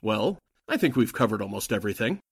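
A man's voice: lowish voice, sing-song, fast talker, husky, no echo, clean studio sound, exaggerated voice. A man playing a suspicious, cautious Nazi henchman in a 1940s-style radio drama. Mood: wary.

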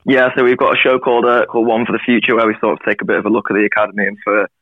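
A man in his twenties, a guest on a call, answering quickly and hedging with "sort of". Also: on the low side, level tone, dry recording. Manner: quick, hedging with 'sort of'. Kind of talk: casual interview answers. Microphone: phone or call. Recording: dull call audio.

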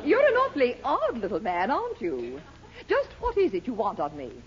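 A woman's voice: a little high, huge pitch swings, normal speed, a crowd in the background. An actress playing a haughty but amused middle-aged society lady, grand and arch, in 1940s radio sitcom style. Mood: skeptical, indignant. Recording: muffled 1940s radio, noisy, audience behind.

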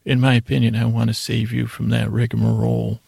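Gravelly, monotone man rambling soothingly. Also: medium pitch, no echo, clean studio sound. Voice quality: gravelly, creaky. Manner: hesitant, halting, repeats and digresses; lulling, soothing delivery. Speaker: bedtime podcast host. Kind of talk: rambling, meandering bedtime monologue.

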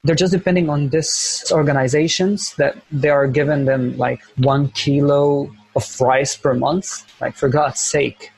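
Young Syrian man, Arabic accent, gravelly husky voice, earnest and measured. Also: fairly high pitch, fairly even intonation, darkish tone, no echo, rough recording. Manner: earnest, measured, repeats phrases while searching for words. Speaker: interview guest, volunteer teacher. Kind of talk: earnest conversational interview.

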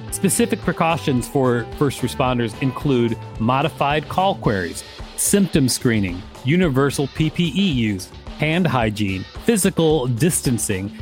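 An American man hosting a podcast, reading reference text aloud and bantering casually. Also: medium pitch. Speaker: podcast host.

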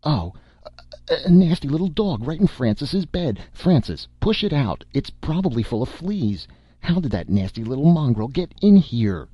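A man's voice: a little high, sing-song, moderate pace, mellow, slightly rough, dry recording, voice pushed up. A man voicing a haughty, exasperated young society wife and mother, snappish and imperious, in an audiobook story. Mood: indignant, shrill.

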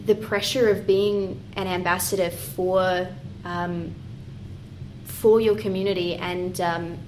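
A woman interviewing a guest, asking questions warmly with hesitant "um"s and "kind of"s. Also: on the low side, flat delivery, slow, slight background noise, low-quality recording. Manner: warm and encouraging, with hesitant "um"s and "kind of". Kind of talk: conversational interview.

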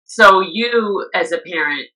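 Interviewer with a bright, mid-pitched voice who asks casual leading questions. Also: slow pace, poor audio.

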